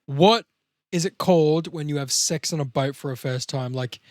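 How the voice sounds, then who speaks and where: A man's voice: fairly high pitch, moderate pace, no echo, clean studio sound. An Australian man hosting a podcast in casual, crude banter, blokey and swearing freely. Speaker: podcast host.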